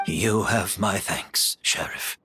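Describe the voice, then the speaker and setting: An actor playing a weary, principled gentleman in a video game, speaking in a measured, polite way. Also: deep voice, breathy edge, no echo, exaggerated voice. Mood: grateful. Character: weary, principled gentleman accepting his guilt.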